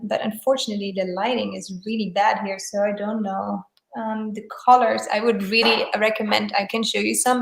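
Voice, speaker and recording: A woman whose native tongue is German speaks in a flat, even tone, pausing often with fillers and restarting her sentences. She is heard over a video call in an echoey room. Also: mid-range voice, creaky. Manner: hesitant, many fillers and restarts. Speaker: guest, tarot deck creator and photographer. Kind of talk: casual interview chat.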